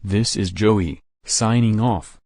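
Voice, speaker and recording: A man's voice: on the low side, steady tone, slow, a little mellow, dry recording, studio quality. A man playing a composed, businesslike local news anchor delivering scripted breaking news.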